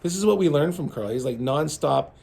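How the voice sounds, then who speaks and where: A man's voice: fairly high pitch, animated, fairly quick, vocal fry, a little mellow, slightly rough. A man from a band hosting a casual video, upbeat and playful as he prompts his bandmate to tell stories. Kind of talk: casual chat.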